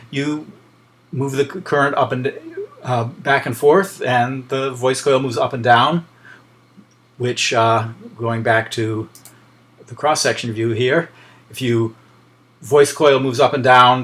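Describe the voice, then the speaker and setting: A man's voice: mid-range voice, fairly bright tone. A man lecturing in an informal, explanatory way, thinking aloud with frequent hesitations.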